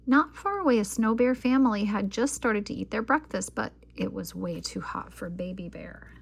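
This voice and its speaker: A woman reading a children's picture book aloud as an expressive storyteller. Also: medium pitch, moderate pace, vocal fry, a little hiss or hum.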